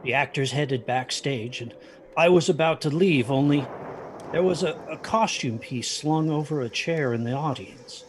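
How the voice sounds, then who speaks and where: Actor as a haunted, halting father, highish voice. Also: a little hiss or hum, natural voice. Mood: regretful. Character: protective, haunted father telling his children a ghost story. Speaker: storyteller narrator. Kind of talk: dramatic first-person storytelling in audio drama.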